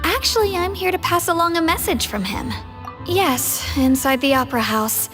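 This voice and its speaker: An actress playing a polite, composed government messenger in an anime-style video game, speaking formally and in measured phrases. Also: female voice, extremely high pitch, fairly bright tone, soft background music. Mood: courteous.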